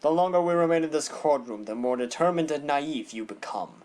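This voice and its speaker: A man's voice: a little high, expressive, a little fast, darkish tone, lightly acted. A man voicing a stern, condescending animal prosecutor with a formal, haughty manner in an audio drama. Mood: challenging.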